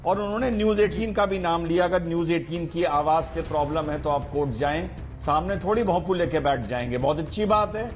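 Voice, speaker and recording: A man's voice: fairly high pitch, fairly quick, hardly any pauses. A man speaking as an advocate on a televised news debate panel, arguing in an emphatic, combative way. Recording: TV broadcast with music behind.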